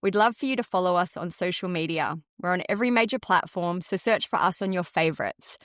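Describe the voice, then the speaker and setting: A woman's voice: on the low side, fairly quick, a bit bright, no room sound, clean studio sound. Australian woman hosting a podcast. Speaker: podcast host. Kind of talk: scripted true crime podcast narration.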